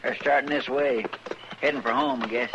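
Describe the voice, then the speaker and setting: Western deputy, male actor: mid-pitched, rustic, respectful and loyal, dull vintage radio sound under loud noise. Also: fairly even intonation, moderate pace, lightly acted. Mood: watchful, eager. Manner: deferential, rustic. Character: loyal, deferential, folksy marshal's deputy sidekick. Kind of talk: old-time radio western drama acting. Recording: muffled old radio recording, loud noise.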